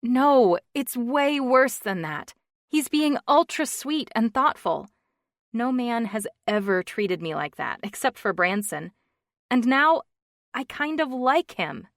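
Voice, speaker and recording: A woman's voice: a little high, expressive, slow, no room sound, pitched above the performer's own voice. A woman voicing a frustrated woman in her thirties, wry and exasperated, in a dry, close reading. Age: 30s.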